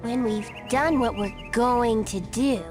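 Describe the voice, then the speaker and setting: A woman voicing a dreamy, eerily cheerful camp girl in a cartoon video game, with a wistful, drawn-out manner. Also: fairly high pitch. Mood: dreamy, ominous. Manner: wistful, drawn-out, seeking agreement. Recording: loud game music behind.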